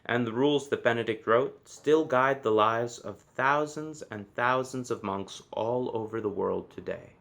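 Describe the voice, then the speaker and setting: A man's voice: mid-range voice, unhurried, gravelly, hardly any pauses, a bit bright. Man reading a children's story aloud. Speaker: storybook reader.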